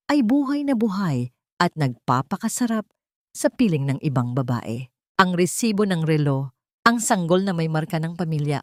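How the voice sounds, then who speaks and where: A woman's voice: low-pitched, a little mellow, dry recording, studio quality. A woman narrator reading a scripted story in a dramatic style.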